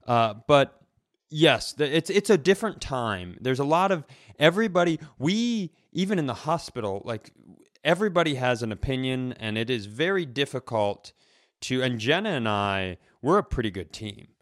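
Male co-host, mid-pitched, close dry sound, casual comic banter with a sweary, exasperated edge. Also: expressive, moderate pace, dry recording. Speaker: podcast co-host. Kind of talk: casual comedic chat.

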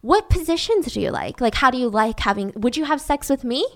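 A woman's voice: high voice, lively intonation, a little fast, fairly bright tone. A woman voicing an older male prison warden who asks leering, pushy questions, in a true-crime retelling. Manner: insinuating, prying.

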